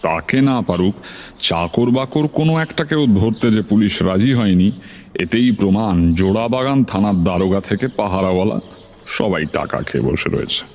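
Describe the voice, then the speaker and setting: A man's voice: fairly low pitch, animated, normal speed, a little mellow. A man narrating a suspense story in the first person, as a scripted dramatized reading.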